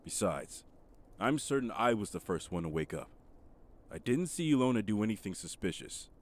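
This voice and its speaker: A level-headed, cautious man from a fantasy visual novel, played by a male actor. His voice sits low and moves around a lot in pitch as he reasons. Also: sing-song, moderate pace. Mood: measured caution.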